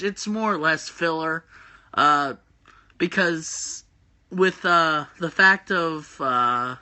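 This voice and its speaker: A man hosts a loose, rambling livestream from home on his phone. His voice is groggy yet very bright and slightly high, and he fills his talk with hesitations while chatting with viewers. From West Virginia. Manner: rambling, many filler "uh"s, reads chat comments aloud. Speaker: host of a wrestling predictions stream. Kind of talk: casual livestream chat.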